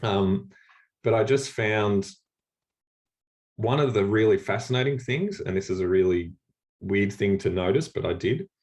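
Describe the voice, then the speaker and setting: A deep-voiced Australian man talks over a video call, easygoing and meandering, full of ums and false starts. He sounds a touch drowsy, as if it is very early in the morning. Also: measured pace, pauses often. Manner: relaxed, rambling with many fillers and restarts. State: a little sleepy, early morning. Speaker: church leader and speaker. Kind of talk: informal talk on a video call.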